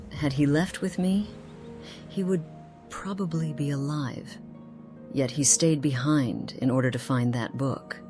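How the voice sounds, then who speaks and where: Casting: actress for earnest, solemn woman companion in a fantasy RPG, unusually low register, courtly wording. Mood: somber, reflective. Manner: formal archaic phrasing, earnest. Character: Alicia, grave haunted woman companion, formal and concerned. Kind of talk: dramatic fantasy game voice acting.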